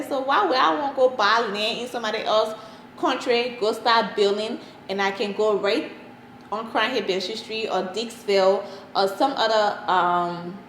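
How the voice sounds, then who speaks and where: Liberian-accented woman in an echoey room. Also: fairly high pitch. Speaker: interview guest, parent advocate. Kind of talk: personal interview talk. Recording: roomy echo, faint background noise.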